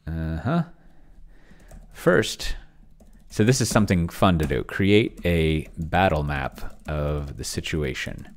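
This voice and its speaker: A man's voice: a bass voice, expressive, slow pace. A middle-aged man casually commenting on a live stream, thinking aloud and muttering in fragments as he types. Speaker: streamer and solo role-player. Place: small indoor home room. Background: computer keyboard typing.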